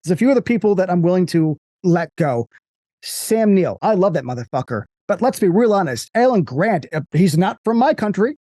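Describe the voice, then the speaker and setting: American man, mellow dry voice. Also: sits high, brisk, no room sound. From United States. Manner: animated, profane, slips into mock voices. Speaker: podcast guest. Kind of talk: casual movie discussion.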